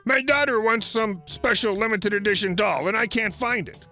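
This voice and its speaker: A man's voice: high-pitched, moderate pace, pushed and bright, faint music behind, pitched above the performer's own voice. A man voicing a rude, entitled middle-aged woman shopper who screeches demands and insults. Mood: snappish.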